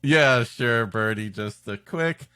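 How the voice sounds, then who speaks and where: A man's voice: mid-range voice, slow, pushed and bright, clear, resonant tone, no echo, studio quality. A man co-hosting a comedy podcast with sardonic, wisecracking banter. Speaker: podcast co-host, interrupting commentator. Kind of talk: comic banter.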